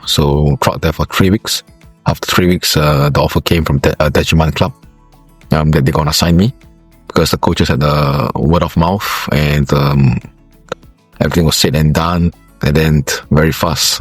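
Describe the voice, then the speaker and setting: A man from Singapore, a former footballer, giving interview answers in a halting way with many fillers and restarts. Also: extremely low pitch, slightly rough, no echo.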